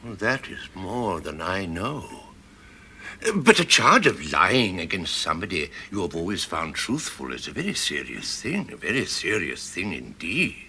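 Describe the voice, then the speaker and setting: Old professor, wildly swooping delivery. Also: male voice, low-pitched, very animated, measured pace, sharp tone, a little hiss or hum, full character voice. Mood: grave. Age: older. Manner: pondering, emphatic, theatrical. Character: eccentric, wise old English professor, musing and exasperated. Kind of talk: radio drama acting.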